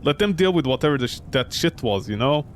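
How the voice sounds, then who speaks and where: A man's voice: fairly high pitch, lively intonation, fast, a little hiss or hum, natural voice. Man reading as a blunt, suspicious tough tenant. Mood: wary, blunt. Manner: slangy, blunt, swearing.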